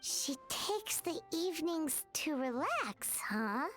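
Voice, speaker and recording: Very high-pitched childlike fairy sidekick. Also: female voice, big pitch swings, takes it slowly, fairly bright tone, soft background music, exaggerated voice. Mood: curious. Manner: speaks of herself in third person, exclaiming. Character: small chirpy childlike fairy companion, eager food lover. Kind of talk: video game voice acting.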